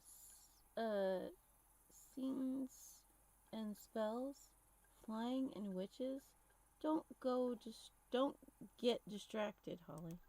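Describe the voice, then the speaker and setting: A woman's voice: fairly high pitch, extremely slow, dark tone, low-quality recording. A woman streamer giving casual, chatty commentary on a game playthrough.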